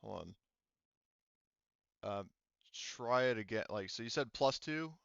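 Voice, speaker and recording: Low, smooth-voiced man running a game. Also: animated, fairly bright tone, no echo, dull audio. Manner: halting, explanatory. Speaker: game master. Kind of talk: casual tabletop game narration and rules explanation.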